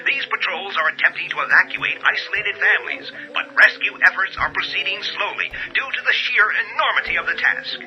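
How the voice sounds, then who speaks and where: A formal, measured news announcer, voiced by a man, his voice slightly raised. The sound is dull and muffled, like an old broadcast. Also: high-pitched, faint music behind, lightly acted. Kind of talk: radio drama acting.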